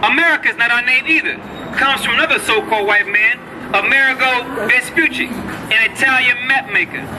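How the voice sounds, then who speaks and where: A man with a strikingly high voice preaches in the open air, explaining scripture to a passerby in a measured, lecturing tone. A crowd chatters around him and city traffic hums in the background. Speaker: street preacher. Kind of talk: street preaching, scripture teaching. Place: outdoor city street corner. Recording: outdoor street with crowd and traffic noise.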